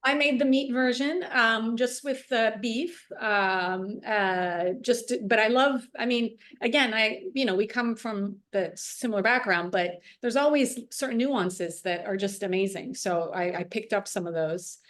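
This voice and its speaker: A woman with an American accent joining a video call, talking eagerly with many pauses. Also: fairly high pitch, a bit bright. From United States. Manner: enthusiastic, halting with fillers. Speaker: caller joining a cook-along. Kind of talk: casual video-call chat.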